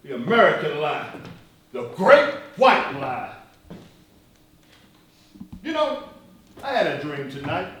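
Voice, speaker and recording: A fiery sarcastic activist leader in a stage play, high voice swinging all over, way off in a very echoey hall. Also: male voice, big pitch swings, takes it slowly, long pauses, a bit bright, recorded from a distance, a little hiss or hum, full character voice. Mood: bitter, haunted. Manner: oratorical, sarcastic, theatrical. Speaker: stage actor. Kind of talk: one-act stage play dialogue. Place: live theatre performance, recorded from far off.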